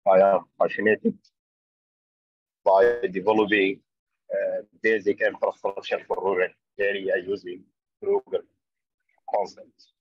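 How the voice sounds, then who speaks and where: Man, low husky voice, dry muffled call sound. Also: flat delivery, no room sound. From Sudan. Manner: short, halting sentences. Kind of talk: self-introduction in an online class. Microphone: video call.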